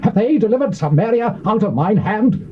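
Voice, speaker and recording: An actor with a British accent playing a boastful, threatening Assyrian envoy, declaiming in a taunting, theatrical biblical drama style. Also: male voice, sits high, expressive, normal speed. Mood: loud taunting proclamation. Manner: declamatory, taunting, archaic oratory. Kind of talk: theatrical dramatized scripture reading. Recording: muffled old cassette with background hiss.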